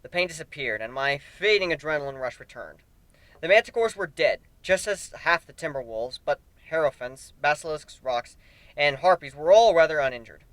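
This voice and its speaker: A man's voice: a little high, animated, moderate pace, fairly bright tone, no echo. A man narrating a first-person story dramatically, as an amateur reader.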